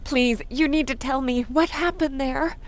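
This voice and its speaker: An actress playing a fragile, grieving soldier's wife in a video game. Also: female voice, high voice, unhurried. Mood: pleading. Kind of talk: video game cutscene acting.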